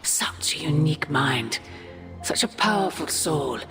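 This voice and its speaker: A woman playing a manipulative, regal sorceress villainess in a video game, theatrical and deliberate in her delivery. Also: low-pitched, expressive, creaky, over music, heavily acted. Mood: righteous, grandiose. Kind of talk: cinematic video game dialogue.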